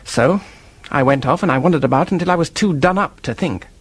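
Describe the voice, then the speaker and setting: An actor playing an earnest, defensive office worker in a radio mystery, husky-voiced, hesitant and blurting, with a temper underneath. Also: male voice, fairly high pitch, a little fast, dry recording. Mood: bitter, confessing. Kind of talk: radio drama acting, detective mystery.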